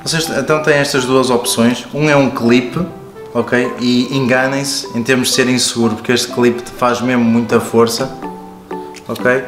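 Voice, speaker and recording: A man with a European Portuguese accent gives a casual, informal, explanatory product review and walkthrough. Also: medium pitch. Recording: roomy echo with music behind. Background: background music.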